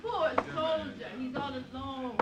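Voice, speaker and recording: High-voiced, teasing tavern girl. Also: measured pace, lo-fi sound. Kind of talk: 1940s-50s radio drama acting. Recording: very noisy old radio recording.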